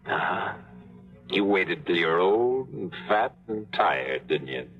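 An actor playing a tough, hard-drinking pilot turned tour guide, narrating and talking in clipped, dry, hard-boiled lines in a 1950s radio drama. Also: male voice, sits low, sing-song, slow pace, exaggerated voice. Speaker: narrator and lead. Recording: lo-fi old radio broadcast with music.

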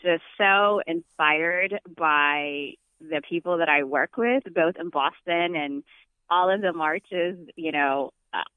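A woman's voice: deep voice, slow, clean tone, no room sound. A woman from Ethiopia, an organizer, speaking in an earnest, upbeat way over the phone. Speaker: march organizer. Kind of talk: phone interview. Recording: dry, tinny phone line.

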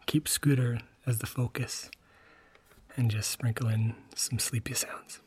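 A man speaking in a soft, gentle, breathy whisper as he introduces a bedtime podcast. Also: mid-range voice, steady tone, a little slow. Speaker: podcast host introducing an episode. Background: music.

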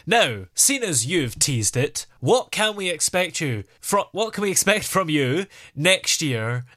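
A man's voice: fairly high pitch, very animated, measured pace, bright, forceful tone. A man hosting an interview show, asking questions in a conversational way.

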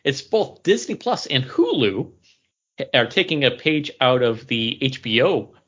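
A man's voice: medium pitch, sing-song, unhurried, a bit bright, husky, lo-fi sound. A man co-hosting a podcast, chatting casually about pop culture. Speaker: podcast co-host.

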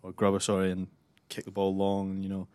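Laid-back guy co-hosting, low voice, pretty monotone, dry close sound. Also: fast talker, smooth, no echo. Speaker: co-host and player. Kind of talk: casual sports podcast chat.

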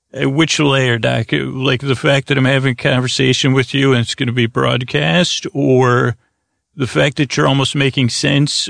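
A man hosting a bedtime comedy podcast, improvising a meandering, halting, absurdist monologue in a creaky voice. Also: mid-range voice, a bit bright, dry recording, studio quality. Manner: meandering, halting, absurdist one-sided conversation with an imagined doctor. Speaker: podcast host.

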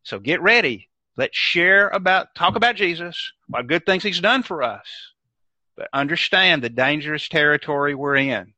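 A man's voice: on the high side, expressive, moderate pace, pushed and bright, dry recording. An older man with a Southern US accent, speaking as a guest in an emphatic, preacherly way. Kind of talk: preaching-style discussion. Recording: dry, dull lo-fi sound.

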